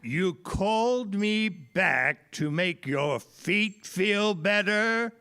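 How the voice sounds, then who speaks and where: A man's voice: sits high, expressive, extremely slow, sharp tone, clean tone, exaggerated voice. A man playing a fussy, exasperated male fairy godparent in a children's audio play, huffy and theatrical.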